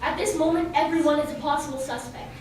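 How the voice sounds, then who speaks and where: A woman's voice: high-pitched, fairly even intonation, fast talker, a little mellow, natural voice. A woman playing a firm detective in a radio play, recorded in a very echoey room. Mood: businesslike. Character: firm detective questioning former classmates at a crime scene. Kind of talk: amateur radio play acting. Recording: very echoey room, distant mic, faint noise.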